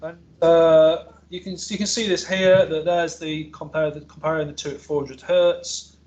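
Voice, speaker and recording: A man's voice: fairly high pitch, fairly even intonation, normal speed, a little mellow, poor audio. A male academic researcher presenting a technical seminar in an informal, explanatory way, with hesitations and self-corrections. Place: seminar room with an audience present.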